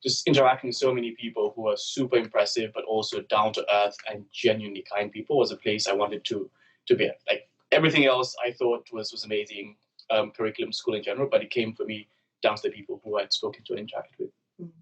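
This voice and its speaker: A man's voice: fairly low pitch, fairly even intonation, fast talker, breathy edge. Casual young man answering on a call. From Uganda. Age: young adult. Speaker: panelist. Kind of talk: panel answers. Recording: distant from the mic.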